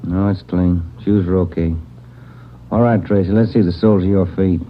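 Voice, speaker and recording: An actor playing a plain-spoken, steady police detective, brisk and matter-of-fact, in a 1940s radio drama. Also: male voice, low-pitched, a little hiss or hum, close to an everyday voice. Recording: muffled vintage 1940s radio recording.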